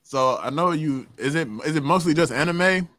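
A man's voice: fairly high pitch, moderate pace, a bit bright, dry recording. A man hosting a podcast, talking in a laid-back way with lots of laughter, slang and swearing. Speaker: podcast host. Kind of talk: casual podcast chat.

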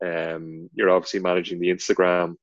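A young man with a nasal voice, co-hosting a podcast in an understated, low-key way. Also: brisk, dry recording. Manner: low-key, not very expressive. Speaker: podcast co-host. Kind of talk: casual chat. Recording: dull, lo-fi, dry sound.

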